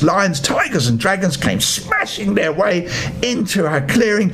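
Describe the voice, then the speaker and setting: An older man narrates a bedtime story in a high-pitched male voice. His delivery is theatrical and playful, swinging widely up and down for expression. There is a faint rumbling noise behind him. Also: fairly bright tone. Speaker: storyteller grandfather. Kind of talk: expressive children's story reading.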